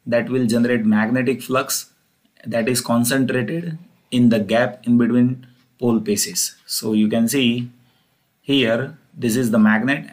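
A man with a mid-range voice delivers an explanatory engineering lecture. He works through the material one step at a time, restating points as he goes. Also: mid-range voice.

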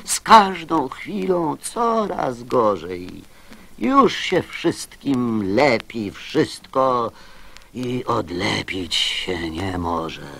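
A man's voice: medium pitch, big pitch swings, drawn-out words, gravelly, fairly bright tone. A man reciting children's verse as a storyteller, dramatic and drawn out.

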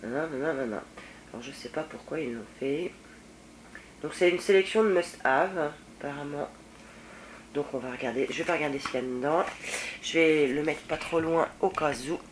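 A woman's voice: low-pitched, normal speed, slight background noise. Stuffy-nosed woman chatting at home. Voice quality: slightly husky, nasal from the cold. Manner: chatty and rambling, many fillers, self-mocking. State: congested with a head cold. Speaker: beauty vlogger. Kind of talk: casual unboxing chat. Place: home room with shelves of nail polish. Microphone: fixed camera at desk distance.

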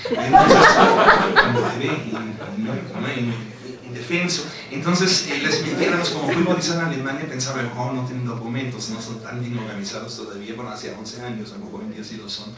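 A man's voice: mid-range voice, fast, a loud crowd. Middle-aged German-accented man, author on a panel, chatty anecdotes, distant hall recording. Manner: animated storytelling, laughing, frequent tag questions. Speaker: author at a book presentation. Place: large lecture hall. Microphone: table microphone on stand. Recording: echoey hall from far off with noise.

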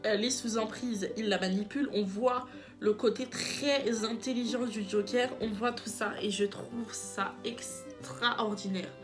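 A woman's voice: fairly high pitch, steady tone, a little slow. Young female book vlogger, stuffy cold voice, eager and repetitive, light music bed. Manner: enthusiastic, rambling, repeats herself. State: congested from a cold. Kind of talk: casual book review vlog.

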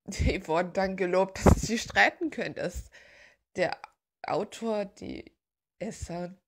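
Female vlog host, casual fan rambling, gushing and giggly, creaky mid-range voice. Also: medium pitch. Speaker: video host.

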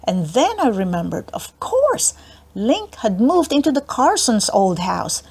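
A woman narrating a children's book aloud in an expressive storytelling style. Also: a little high, measured pace, vocal fry, breathy edge. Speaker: audiobook narrator.

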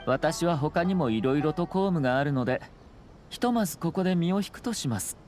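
An actor playing a calm, courteous, scheming young nobleman in an anime game, speaking in a measured, confident way. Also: on the high side, lightly acted. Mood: composed, sly. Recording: music behind the voice.

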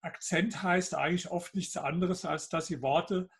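An older man with a mild Hessian lilt answers questions over a video call from his home office. His voice sits fairly high for a man, with a gravelly, husky edge. He pauses often on fillers as he builds a pointed argument. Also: steady tone. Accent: Hessian German. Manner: hesitant, many fillers, argumentative. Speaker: guest author. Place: home office with bookshelves, indoors.

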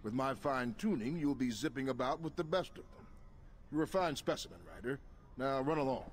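A man acts a patronising scientist in a video game. His mid-pitched voice is fussy and self-satisfied, as if addressing a lab specimen. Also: brisk, lightly acted. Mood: smug.

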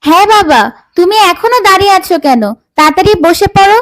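Little daughter played by a woman: very high, quick, impatient, bossy, cartoon doll tale. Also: no echo. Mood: impatient, urging. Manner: chiding, urging. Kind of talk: children's doll-story voice acting.

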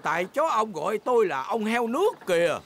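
A man's voice: sits high, very animated, brisk, gravelly, a bit bright, slight background noise, heavily acted. A man voicing a stubborn, boastful grandfather pig captain in a children's cartoon dub. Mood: stubborn, competitive. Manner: competitive, stubborn. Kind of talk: dubbed children's cartoon dialogue.

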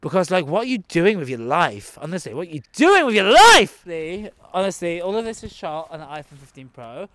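Man, late twenties, camp and dramatic, high-pitched, dry close sound, outdoors at a zoo. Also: big pitch swings, brisk, hardly any pauses, a bit bright, no echo. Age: 20s. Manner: sassy, dramatic, talks to animals and camera. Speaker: vlogger. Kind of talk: camp comedic vlog monologue. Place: outdoor zoo, among animal enclosures. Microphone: handheld phone held close.

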